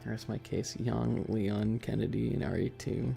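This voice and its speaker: A man's voice: fairly low pitch, steady tone, a little slow, creaky, mellow, soft background music. A man chatting casually as a livestream host, bantering with viewers in a slangy, joking way. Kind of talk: casual livestream chat, ASMR streamer.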